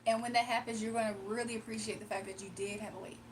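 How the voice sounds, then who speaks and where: A woman's voice: a little high, steady tone, fast, slight background noise. A woman hosting a live stream, chatting casually with viewers and doing card readings, her voice a little strained from nearly losing it. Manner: chatty, reads out viewer comments, laughs often. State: slightly strained, recovering voice. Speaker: livestream card reader.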